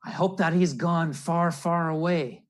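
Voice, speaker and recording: Man playing an eager young shorebird, higher pitched. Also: fairly even intonation, unhurried, close to an everyday voice. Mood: hopeful. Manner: simple, exclamatory. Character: young red knot bird, eager and wide-eyed storybook hero.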